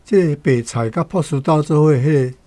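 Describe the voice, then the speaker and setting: A man, a guest commentator, casually explaining word meanings in a relaxed, musing way with fillers. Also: a little high, normal speed, dry recording.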